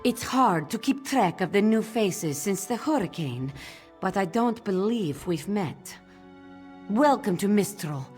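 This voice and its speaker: A woman's voice: mid-range voice, lively intonation, a little slow, a little mellow, soft background music, lightly acted. An actress playing a welcoming, gracious female islander in a video game. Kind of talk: video game character dialogue.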